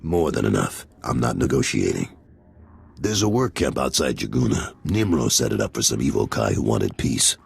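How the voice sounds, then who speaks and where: A world-weary gangster boss for a video game. The voice is extremely deep and very raspy, with lively swings of pitch and a gruff, frank, businesslike manner. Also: male voice, moderate pace, heavily acted.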